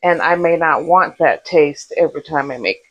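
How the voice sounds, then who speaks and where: A woman in her thirties chatting casually as she cooks in her small home kitchen, relaxed and rambling, wearing a lapel mic. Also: low-pitched, moderate pace, dull audio. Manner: relaxed, rambling, laughs to herself. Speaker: vlogger. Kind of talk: casual cook-along chat. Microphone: clip-on lapel mic.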